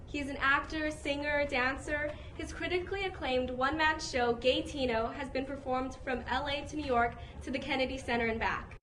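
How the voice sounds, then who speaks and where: A woman's voice: sits high, steady tone, moderate pace, hardly any pauses, background noise. A woman co-hosting an interview show, reading a scripted introduction in an upbeat presenter manner. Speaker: co-host. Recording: voice over loud music.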